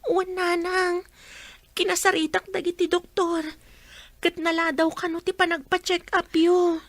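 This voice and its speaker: A woman's voice: very high voice, level tone, measured pace, dry recording. A woman playing a dutiful young daughter caring for her ailing mother in a Filipino radio drama, with a Filipino accent. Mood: worried, urgent.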